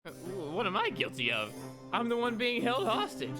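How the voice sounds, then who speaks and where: A man's voice: sits high, big pitch swings, a little fast, over music. A man doing a casual voiced playthrough of a visual novel, reading and reacting in a sarcastic, slangy way. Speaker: gaming video creator.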